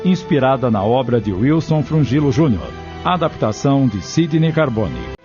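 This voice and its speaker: Brazilian male announcer over clear music. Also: medium pitch, very animated, normal speed. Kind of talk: scripted radio drama announcement. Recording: dull lo-fi audio.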